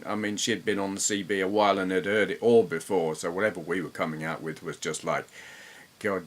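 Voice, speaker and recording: A man's voice: fairly low pitch, fairly bright tone. A middle-aged man with an English accent, reminiscing casually in an informal, rambling, self-deprecating way. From England. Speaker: host, gamer.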